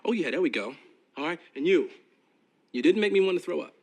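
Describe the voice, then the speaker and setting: A woman's voice: low voice, lively intonation, brisk, darkish tone. A woman acting a mean, blunt, sarcastic group leader in a sitcom. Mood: scornful.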